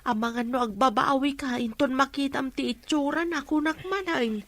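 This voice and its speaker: A woman's voice: high voice, moderate pace, fairly bright tone, dry recording. A woman playing a disapproving, fretful older mother in an audio drama, with a nagging manner. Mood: reluctant, worried. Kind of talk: Ilocano audio drama acting.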